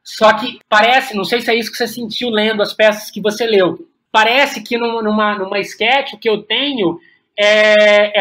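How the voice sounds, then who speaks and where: A man's voice: very high-pitched, a bit bright. A man with a Brazilian accent talking reflectively as an interview guest, repeating words as he thinks aloud. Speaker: guest playwright.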